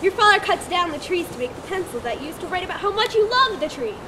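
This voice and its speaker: Woman reading argumentative male mentor, amateur reading, quick-talking, high voice. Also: big pitch swings. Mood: arguing. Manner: brisk, debating, teasing. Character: confident man, a philosopher and mentor, amused and argumentative. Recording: loud outdoor traffic noise behind. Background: traffic noise.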